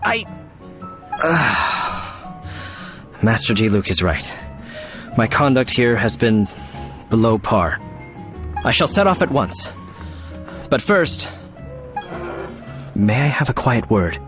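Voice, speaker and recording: An actor playing a nervous, earnest knight in a video game, stammering yet formal and polite, with music behind him. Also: male voice, mid-range voice, very animated, slow, pauses often. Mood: resolute. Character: flustered, well-mannered male knight, nervous and earnest. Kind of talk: video game character acting.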